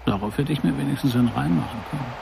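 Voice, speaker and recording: A man's voice: medium pitch, rapid-fire, gravelly, background noise. An actor playing a middle-aged private detective in a noir radio play, narrating his case in a dry, laconic, sardonic way. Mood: cool, probing. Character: hard-boiled male private detective narrating his case, wry and probing.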